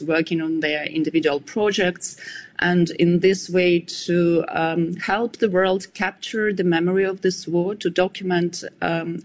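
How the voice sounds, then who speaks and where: A woman from Ukraine with a Ukrainian accent, giving considered answers as an interview guest. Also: lowish voice. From Zaporizhia, Ukraine. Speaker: interview guest, founder of a research organization.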